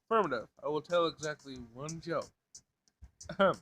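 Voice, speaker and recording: Literal-minded schoolgirl android for a visual novel, formal, deadpan delivery, slightly high for a male read man acts it out. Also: moderate pace, lightly acted. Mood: formal, matter-of-fact. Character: blunt, literal-minded robot in a high school girl's body.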